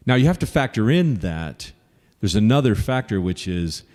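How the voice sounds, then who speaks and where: A man's voice: lowish voice, big pitch swings, moderate pace, darkish tone, in a large hall. A man running for office, earnestly answering audience questions at a forum and listing his points with frequent fillers. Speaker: congressional candidate.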